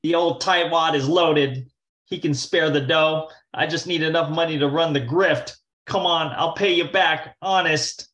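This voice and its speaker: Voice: medium pitch, steady tone, a little slow, fairly bright tone, lightly acted. Slangy, wheedling young schemer, voiced by an actor. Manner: slangy wise-guy talk. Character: wheedling young male gambler, scheming brother. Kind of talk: amateur old-time radio comedy-mystery acting.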